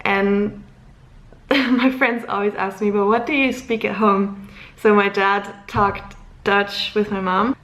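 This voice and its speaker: A woman's voice: mid-range voice, reverberant, rough recording. A woman in her twenties from Luxembourg, German as her first language, chatting casually to camera as a vlogger, giggly and rambling.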